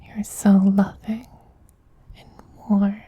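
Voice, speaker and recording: Man as a whispering, dark-toned, effeminate vampire queen. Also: high-pitched, monotone, dry recording, exaggerated voice. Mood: adoring. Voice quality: breathy, whispery, soft. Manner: slow, soothing, doting. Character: tender, dominant, effeminate vampire queen soothing a beloved pet. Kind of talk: soft-spoken ASMR roleplay.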